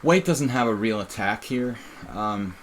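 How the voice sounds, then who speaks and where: A man's voice: fairly low pitch, animated, unhurried, slight background noise. A middle-aged man with a faint Philadelphia accent, casually commenting on his own live chess game in a rambling, self-interrupting way. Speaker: chess streamer.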